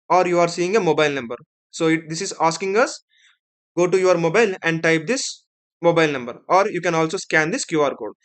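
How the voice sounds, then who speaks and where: A man with an Indian accent and a somewhat high voice works through a screen tutorial. He explains each step as an instructor would. He keeps checking that the listener follows, with small tag words at the ends of his points. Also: fairly bright tone. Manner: explanatory, checks in with "right?" and "okay".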